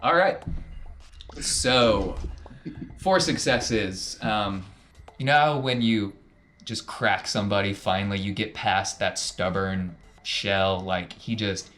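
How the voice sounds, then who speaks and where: A man's voice: on the low side, slow, fairly bright tone, a little hiss or hum. A man working as game master, narrating casually and repeating phrases for emphasis.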